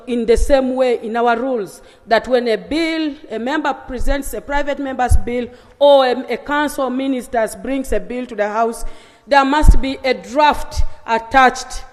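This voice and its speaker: Brisk legislator raising a point of order. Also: medium pitch. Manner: brisk and persistent, with some restarts. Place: large legislative chamber. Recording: long reverb of a large chamber.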